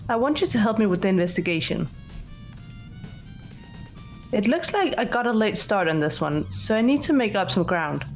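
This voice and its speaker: A woman's voice: mid-range voice, sing-song, moderate pace, long pauses, faint music behind. Woman acting cool, blunt teenage girl detective. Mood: businesslike. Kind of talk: amateur visual novel voice acting.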